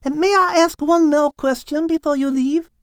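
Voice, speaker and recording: A woman as a high-voiced, polite French animal. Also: steady tone, moderate pace, dry recording, clean studio sound, lightly acted. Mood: curious. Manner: polite, inquisitive, precise. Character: polite, curious, refined French female animal.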